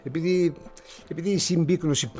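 An actor playing a worldly, condescending older newspaper publisher in a radio drama, speaking with musing pauses. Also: male voice, a little high, warm tone, soft background music, lightly acted. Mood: lecturing. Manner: theatrical, patronizing, with musing pauses.

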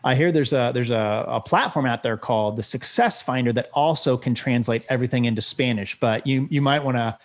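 A man's voice: mid-range voice, a little fast, few pauses. A man hosting a podcast interview, affirming his guest enthusiastically and often repeating words as he talks. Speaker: podcast host. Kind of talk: interview conversation.

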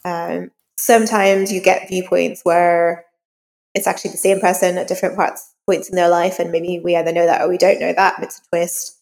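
A woman's voice: on the low side, little pitch movement, moderate pace, creaky, a little mellow. A woman novelist being interviewed, explaining her craft in an informal, conversational way.

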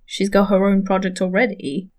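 A woman's voice: mid-range voice, completely flat delivery, normal speed, a little mellow, lightly acted. An actress playing a wary, inquisitive woman in an audio drama. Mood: wary, polite. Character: wary, inquisitive woman visiting an old friend.

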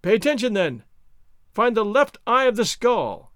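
A man voicing an obsessive, excitable gentleman who gives urgent, impatient orders in formal diction for a storybook reading. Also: sits high, unhurried, dry recording, clean studio sound, pitched above the performer's own voice, full character voice.

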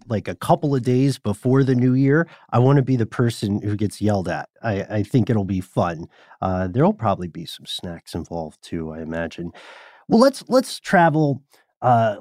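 Lively Southern American podcast man. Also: mid-range voice, dry recording, studio quality. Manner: lively storyteller, acts out quotes. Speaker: podcast co-host. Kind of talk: casual podcast conversation.

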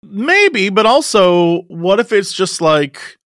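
A man's voice: sits high, big pitch swings, takes it slowly, a bit bright, no echo, clean studio sound. A man co-hosting a podcast in casual, playful, teasing banter. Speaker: podcast co-host.

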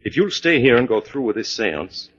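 A man's voice: medium pitch, sing-song, normal speed, a bit bright. An actor playing a persuasive, insistent male swindler in 1940s radio drama style. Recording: dull 1940s radio recording.

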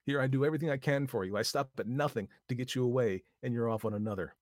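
A man's voice: mid-range voice, fast, darkish tone, no echo, clean studio sound, voice pushed up, lightly acted. A man reading aloud voices a bossy, scornful young woman who snaps and talks vulgar when angry.

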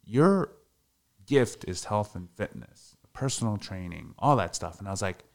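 A man's voice: fairly low pitch, lively intonation, a little slow, gravelly. A man in his thirties talking candidly in a casual podcast interview, reflective and full of fillers. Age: 30s. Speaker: podcast guest.

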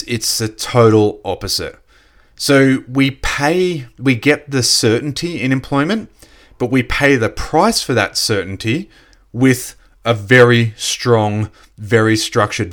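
A man with a slightly croaky voice giving a casual motivational business talk, full of "you know" fillers. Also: medium pitch, animated, unhurried, fairly bright tone. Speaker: network marketing coach. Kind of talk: motivational solo podcast talk.

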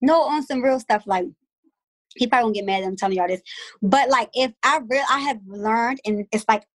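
A woman's voice: fairly high pitch, a little fast. A woman in her thirties chatting as a guest, candid and slangy, often laughing as she tells stories. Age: 30s. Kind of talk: casual chat.

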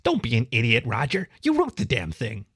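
A man's voice: medium pitch, huge pitch swings, brisk, creaky, a bit bright, husky, no room sound, clean studio sound, heavily acted. A man voicing a bossy, irritable young feline club leader with a formal, commanding manner in a storybook. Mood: irritated.

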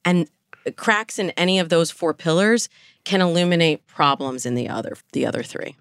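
Low-voiced woman giving coaching advice. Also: expressive, normal speed, fairly bright tone, clean tone, dry recording, clean studio sound. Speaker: dating coach, interview guest. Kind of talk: conversational advice in a podcast interview.

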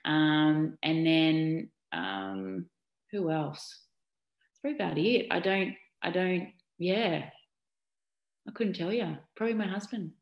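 A middle-aged woman with an Australian accent talks in a low, lively voice, filling her answers with ums and restarts. Her voice rings in an echoey room. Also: takes it slowly, muffled recording. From Newcastle, New South Wales, Australia. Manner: chatty and animated, many ums and restarts. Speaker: interviewee, former basketball player. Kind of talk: casual interview answers.

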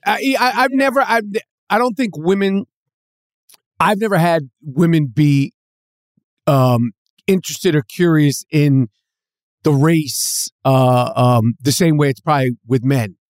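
Woman from New York, podcast guest, casual, halting speech, many restarts, dry sound. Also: sits low, no room sound. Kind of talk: casual chat.

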